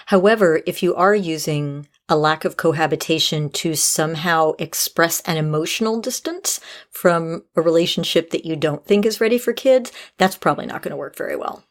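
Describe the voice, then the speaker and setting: A woman's voice: low-pitched, normal speed. A woman hosting an advice podcast, talking casually but in a frank, articulate, organized way. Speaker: podcast host answering listener questions.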